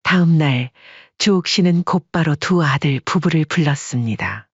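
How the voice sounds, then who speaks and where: A man narrating a story audiobook in a steady storytelling manner, on a dull, dry recording. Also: on the high side, moderate pace, husky, no echo.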